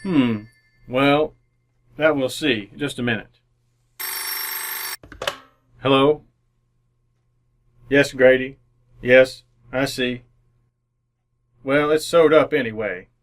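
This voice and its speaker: Man playing a measured, formal police inspector. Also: mid-range voice, lightly acted. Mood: coolly accusing. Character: shrewd, methodical male police inspector, coolly accusing. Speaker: amateur radio actor. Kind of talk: old-time radio mystery acting.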